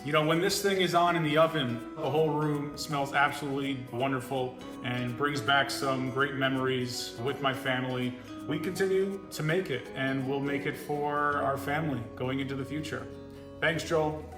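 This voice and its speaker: A man's voice: mid-range voice, fairly even intonation, normal speed. An American man from Pennsylvania, a home cook giving an earnest video message to a show. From Pennsylvania, United States. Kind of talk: earnest presentation to camera. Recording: roomy echo, guitar music underneath.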